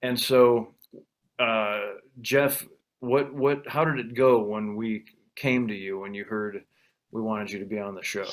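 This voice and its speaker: A man's voice: fairly low pitch, steady tone, a little slow. A man hosting a conversation over a video call, talking in a rambling, self-deprecating way with many restarts. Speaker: event host.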